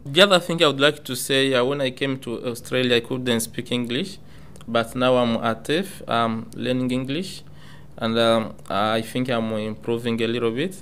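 A man who is a migrant learning English speaks in halting, simple sentences full of fillers about his new life. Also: medium pitch, a bit bright, slight background noise. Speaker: migrant English student on community radio. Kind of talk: personal talk.

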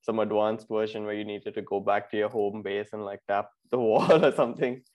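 A man's voice: fairly low pitch, steady tone, a little fast, darkish tone. Easygoing male podcast co-host in his twenties, casual and chatty. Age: 20s. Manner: laid-back, laughs often, says "bro". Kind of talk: casual chat.

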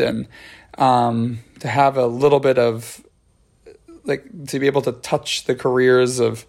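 A man hosting his own dance podcast, chatting casually with lots of ums and restarts, his voice scratchy. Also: mid-range voice. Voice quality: scratchy, gravelly voice. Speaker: podcast host and dance choreographer. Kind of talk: casual solo podcast chat.

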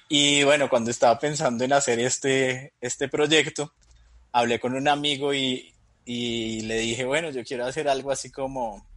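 A man's voice: mid-range voice, no room sound. A man in his thirties, a Bible teacher, telling stories as a guest in a casual interview, often chuckling as he talks. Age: 30s. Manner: relaxed storytelling, chuckles mid-sentence.

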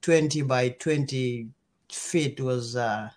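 A middle-aged man with a Kenyan accent, talking casually as an interview guest and pausing often mid-sentence. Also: mid-range voice, a little mellow.

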